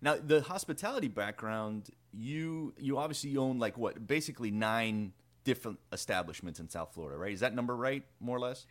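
A man's voice: medium pitch, lively intonation, fairly quick, hardly any pauses. A man hosting a casual interview, asking playful questions with many restarts. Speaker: interview host.

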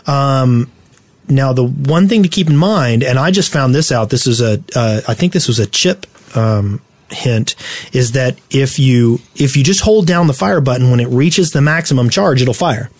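A man co-hosting a casual gaming podcast, explaining tactics in a halting, instructional way. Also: medium pitch, no echo. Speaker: podcast host.

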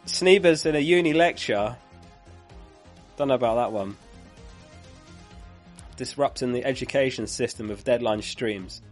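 Hoarse man chatting on a live stream. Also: mid-range voice, unhurried, pauses often, faint music behind. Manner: chatty, reads out viewer comments and laughs. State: losing his voice. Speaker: fantasy football streamer.

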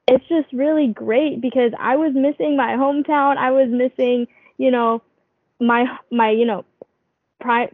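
A young woman from the Philippines speaks eagerly and casually, with a fairly high voice. The sound is thin and phone-like, and dry. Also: no echo. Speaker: actress guest. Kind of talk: casual interview chat.

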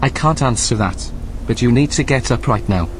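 An actor playing a calm artificial intelligence guide in an audio drama, speaking in a measured, matter-of-fact, commanding way. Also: male voice, mid-range voice, slight background noise, natural voice. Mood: urgent, insistent.

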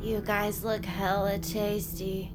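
Woman voicing laid-back half-turned young woman, slow, halting, slangy, wry humor, audio drama, clear music bed. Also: mid-range voice, level tone, natural voice. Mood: woozy, wry. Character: laid-back, doomed young woman turning monster, wryly joking. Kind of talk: scripted audio drama acting. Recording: loud music under the voice.

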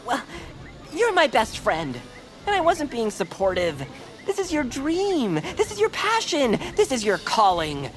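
A woman voicing a nervous, wordy, fussy young creature, a loyal best friend who stammers, in cartoonish audio fiction. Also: mid-range voice.

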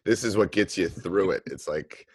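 A man's voice: low-pitched, normal speed, slightly rough, no echo. A middle-aged man hosting a podcast, chatting casually in a rambling, enthusiastic way. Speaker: podcast host and basketball coach. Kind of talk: casual podcast interview chat.

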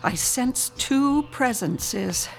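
An actress playing a solemn psychic who senses spirits in a radio horror drama, speaking in a deliberate, otherworldly manner. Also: female voice, a little high, animated, gravelly, soft background music, exaggerated voice, low-quality recording. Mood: eerie, entranced.

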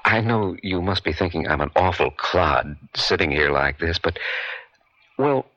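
Low, husky, bashful suitor. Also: male voice, animated, bright, forceful tone, no room sound, heavily acted. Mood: awkward, hesitant. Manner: polite, awkward, halting. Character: shy, hesitant married man drawn into an affair. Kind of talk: radio drama acting. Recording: dull vintage radio recording.

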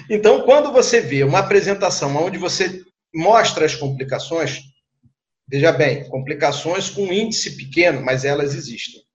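Slightly high-voiced Rio man, muffled audio. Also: animated, measured pace, a little hiss or hum. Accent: Rio de Janeiro Brazilian Portuguese. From Rio de Janeiro, Brazil. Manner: conversational, repeats words and adds tag questions. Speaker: guest surgeon. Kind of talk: explanatory recounting in an interview.

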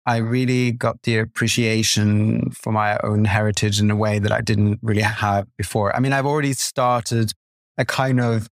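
A man from Norway, a guest designer, gives a personal interview answer in a thoughtful, halting way. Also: lowish voice, flat delivery, gravelly, no room sound, clean studio sound.

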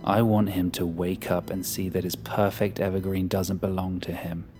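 Cold, commanding male leader played by a man for audio drama, callous, on the lower side, faint music bed. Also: little pitch movement, normal speed, warm tone, natural voice. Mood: cold, impatient. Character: Jeffrey, cold domineering male leader seizing control.

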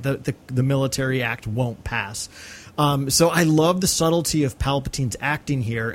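A man co-hosting a podcast, giving opinions in a casual, conversational film analysis. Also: medium pitch. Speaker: podcast co-host.

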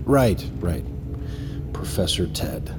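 A man's voice: low-pitched, sing-song, slow pace, over music, full character voice. An actor playing an affable middle-aged father and security chief in an audio drama, easygoing and a little hesitant, in a naturalistic style. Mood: warm, casual.

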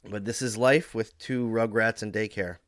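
A man from Alberta, a hockey analyst co-hosting a podcast, sick and under the weather, telling relaxed chatty stories. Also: lowish voice, normal speed, no room sound, clean studio sound. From Alberta, Canada. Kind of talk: casual sports podcast chat.

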